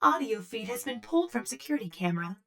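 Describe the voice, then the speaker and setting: A woman voicing a matter-of-fact archive computer in an audio drama, giving system announcements with a creaky voice. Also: fairly high pitch, very animated, fast, distant mic, very noisy, exaggerated voice. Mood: flat, procedural.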